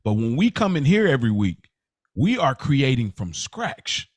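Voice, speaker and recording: An American man in his thirties co-hosting a podcast in casual, slangy talk, telling things in an animated way and repeating words for emphasis. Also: medium pitch, moderate pace, no echo, studio quality. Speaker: podcast co-host. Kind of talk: casual unscripted podcast chat.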